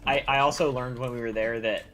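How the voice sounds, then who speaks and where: A man's voice: medium pitch, steady tone, quick pace, fairly bright tone, faint music behind. A young man co-streaming, chatting casually and with enthusiasm, often calling his friend "dude". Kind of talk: casual chat on a live co-stream.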